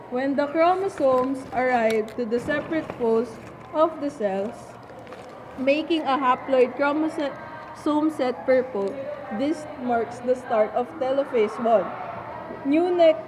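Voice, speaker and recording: Woman presenter, high-pitched, measured and slow scripted explanation, noisy amateur recording. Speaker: student presenter playing a doctor. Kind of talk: scripted explanation in a biology puppet show.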